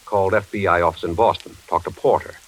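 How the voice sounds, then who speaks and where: A man's voice: low voice, fairly even intonation, fast, poor audio. An older American man playing an easygoing, reluctant retired investigator in 1940s radio suspense style, folksy and reassuring. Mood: serious, confiding.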